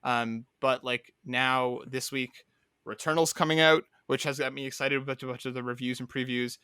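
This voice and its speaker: A man's voice: medium pitch, flat delivery, moderate pace, pushed and bright, smooth, dry recording, clean studio sound. A man hosting a podcast, chatting casually about video games in a rambling, enthusiastic way. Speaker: podcast host.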